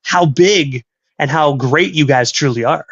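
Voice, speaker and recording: A man's voice: on the high side, measured pace, fairly bright tone, breathy edge, no echo, muffled recording. A man hosting a casual radio interview, chatty and eager, asking long winding questions. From Canada. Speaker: radio host.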